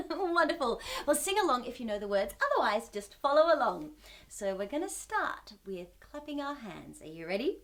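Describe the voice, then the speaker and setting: A woman's voice: fairly high pitch, big pitch swings, moderate pace, fairly bright tone. A woman hosting a children's storytime, talking with exaggerated wonder, frequent gasps and questions to her young listeners. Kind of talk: children's read-aloud and singalong.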